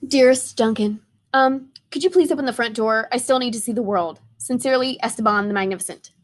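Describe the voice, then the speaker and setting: A woman's voice: a little high, a little fast, natural voice. A woman voicing a grandiose runaway crayon in a storybook, with a pompous, formal manner. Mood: polite, grand. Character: grandiose runaway pea-green crayon, self-styled magnificent adventurer.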